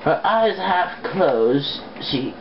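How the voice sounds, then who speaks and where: Female amateur reader, very unhurried and halting, casual delivery, lo-fi sound with slight noise. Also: low-pitched, sing-song. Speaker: amateur fan fiction reader. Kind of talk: casual, halting read-aloud. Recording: dull lo-fi home recording, background noise.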